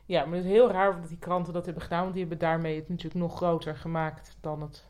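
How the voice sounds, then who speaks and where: A woman's voice: fairly low pitch, level tone, quick pace, a little mellow. A woman co-hosting a podcast, chatting casually in an inquisitive, conversational way. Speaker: podcast co-host. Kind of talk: casual chat.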